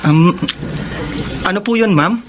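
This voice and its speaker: A man's voice: high voice, takes it slowly, slight background noise. An actor playing a polite, helpful male construction foreman in a Filipino radio drama.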